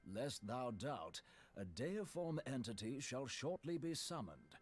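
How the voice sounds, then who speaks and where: A stiff fantasy game scholar with a husky voice and sweeping intonation. His delivery is measured and grandiloquent, full of formal archaic turns of phrase. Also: mid-range voice, sing-song, faint music behind. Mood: indignant, grave. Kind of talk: fantasy video game acting.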